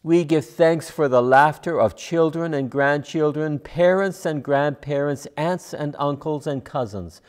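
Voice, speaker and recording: Older man praying solemnly, slightly high voice. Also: a little mellow. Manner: measured, solemn phrasing. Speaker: minister leading worship. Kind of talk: read pastoral prayer. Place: church sanctuary, at a pulpit.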